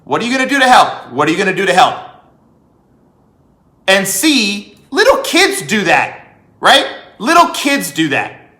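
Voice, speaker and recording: A man's voice: high voice, wildly swooping pitch, unhurried, long pauses, sharp tone. A man delivering an opinionated political monologue as a commentator, emphatic, repeating phrases and asking rhetorical questions. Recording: echoey room.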